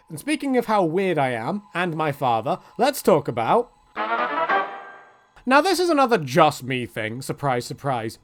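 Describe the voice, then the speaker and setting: Male games commentator, slightly high voice, very animated intonation; rambling and self-deprecating, casual delivery. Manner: swears casually. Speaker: video essayist on games. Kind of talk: casual, joking opinion commentary.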